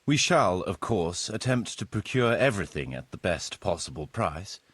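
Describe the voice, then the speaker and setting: Marius, husky formal medieval surveyor. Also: male voice, sits low, very animated, moderate pace, fairly bright tone, no echo, full character voice. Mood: formal, assured. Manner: formal, deferential, wordy, precise. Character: formal, courtly medieval locator Marius, eager planner and surveyor. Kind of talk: video game cutscene acting.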